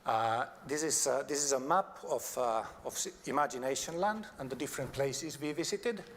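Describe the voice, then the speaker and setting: A man's voice: mid-range voice, fairly bright tone. Man presenting in a reverberant, noisy hall. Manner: halting, searching for words. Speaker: designer giving a talk. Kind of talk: conference presentation. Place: large conference hall on stage.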